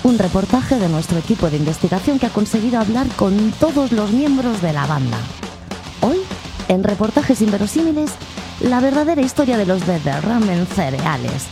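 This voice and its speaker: A woman's voice: mid-range voice, very animated, moderate pace. A woman narrating a mock radio documentary in a dramatic, ironic tone. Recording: narration over loud music.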